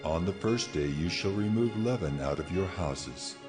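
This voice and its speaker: A man's voice: sits low, expressive, moderate pace, loud music behind the voice. A man playing God in a dramatized scripture reading, solemn and authoritative as he declares commands. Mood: solemn, commanding. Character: God speaking laws, solemn commanding male deity.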